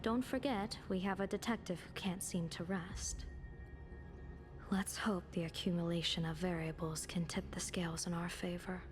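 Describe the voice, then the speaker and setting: A woman plays a shrewd female strategist in a game, composed and grave. Her voice sits a little low, with measured, portentous phrasing and music clearly behind it. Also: fairly even intonation, natural voice. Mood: wry, composed. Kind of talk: dramatic game voice acting.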